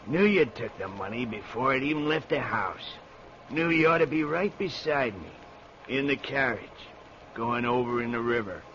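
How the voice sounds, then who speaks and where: A man's voice: medium pitch, expressive, normal speed, a bit bright, lightly acted. An actor playing a vengeful drowned man back from the river, with a menacing rural drawl, in vintage radio horror style. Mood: accusing, eerie. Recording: muffled, noisy old radio recording.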